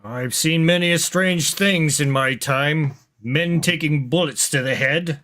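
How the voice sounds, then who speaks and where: A man improvising as a seasoned, unhurried military captain in a tabletop roleplaying game, with a deliberate manner. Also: fairly high pitch, sharp tone. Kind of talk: improvised in-character roleplay and narration.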